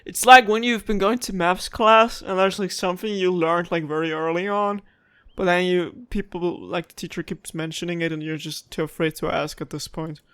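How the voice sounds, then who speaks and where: A man's voice: high voice, steady tone, normal speed, bright, forceful tone, clear, resonant tone, dry recording. A man giving casual let's play commentary as a gaming streamer.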